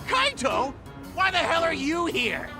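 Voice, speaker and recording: Game role: aggrieved, hot-headed man, very high-pitched, swooping, indignant rants. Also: wildly swooping pitch, a little slow, vocal fry, full character voice. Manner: whiny, indignant, foul-mouthed ranting. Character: hot-tempered, aggrieved fired-producer man working as a waiter. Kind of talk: dramatic video game voice acting. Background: music.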